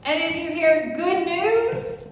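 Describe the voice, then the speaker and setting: Echoey, high-voiced woman speaking from far off. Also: slow. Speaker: pastor leading a congregation. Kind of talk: interactive talk introducing a story to an audience. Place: large church room. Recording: echoey hall from far off, faint noise.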